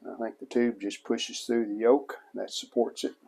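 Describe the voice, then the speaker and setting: A man giving casual hands-on narration of a repair as a hobbyist restorer, plain-spoken and unhurried, thinking aloud as he works. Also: medium pitch, steady tone, creaky, darkish tone, slightly rough.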